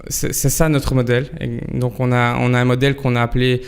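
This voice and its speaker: Man, thirties, Belgian accent, clear resonant voice, some vocal fry, halting interview answers. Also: mid-range voice, flat delivery. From Antwerp, Belgium. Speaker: fintech professional, interview guest. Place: broadcast studio.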